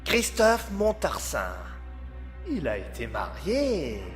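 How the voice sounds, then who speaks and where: A man playing a menacing, mocking voice that haunts a killer's mind in an audio drama, with drawn-out theatrical delivery. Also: on the high side, huge pitch swings, faint music behind, heavily acted. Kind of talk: amateur audio drama acting.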